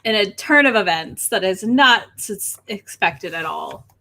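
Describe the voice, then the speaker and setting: A woman's voice: medium pitch, expressive, unhurried, gravelly, sharp tone. A woman hosting an online readalong, chatting casually and playfully teasing the others about books. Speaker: host of an online book readalong livestream.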